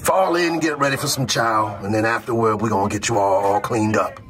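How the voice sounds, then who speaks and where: A middle-aged American man playing a stern, mocking army drill sergeant, barking intimidating, deliberate lines in a comedic roleplay. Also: on the low side, fairly bright tone, faint music behind, natural voice. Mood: stern, commanding. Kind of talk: comedic military ASMR roleplay.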